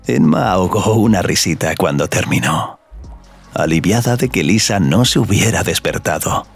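A man's voice: fairly low pitch, creaky, slightly rough. A man narrating a scripted audio story.

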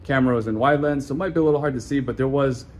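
A man's voice: mid-range voice, racing. A young man giving a casual, chatty walkthrough of an apartment, filmed on a handheld camera at arm's length indoors. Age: young adult. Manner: relaxed, chatty, loose phrasing. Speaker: vlogger touring a condo. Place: indoor apartment and building walkways.

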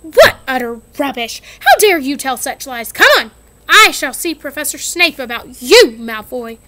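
A woman's voice: fairly high pitch, flat delivery, slow, a bit bright. A woman reading aloud, voicing a stern older schoolmistress who scolds sharply. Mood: scolding.

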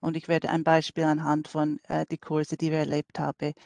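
American woman speaking halting German. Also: sits low, monotone, gravelly, darkish tone, dry recording. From New York City. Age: middle-aged. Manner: hesitant, many fillers, non-native German phrasing. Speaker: language school director. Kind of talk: online conference presentation.

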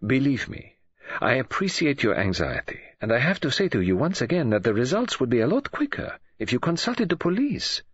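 A man narrating an audiobook voices a fussy, formal older male detective, speaking in a measured, soothing manner. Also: medium pitch, big pitch swings, breathy edge, muffled recording, voice pushed up. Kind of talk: audiobook character voice.

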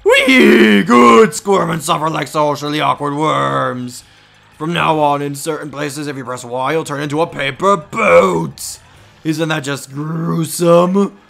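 Man acting as evil chest demon, bumbling video game villain, loud, overdramatic, gloating, creaky edge. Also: a little high, takes it slowly, soft background music, heavily acted. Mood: gloating, theatrical.

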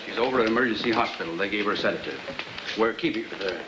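An actor playing a matter-of-fact American police officer in a 1950s film, clipped and official. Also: male voice, on the low side, racing, lightly acted. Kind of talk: 1950s film drama acting. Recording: roomy, noisy old film soundtrack.

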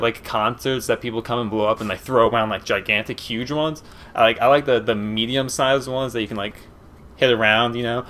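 A young man with a Philadelphia and Eastern Pennsylvania accent talks casually over gameplay from a small room at home. He rambles in an easygoing way, full of fillers and restarts, at a middle pitch. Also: fairly bright tone. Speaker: gaming commentator.

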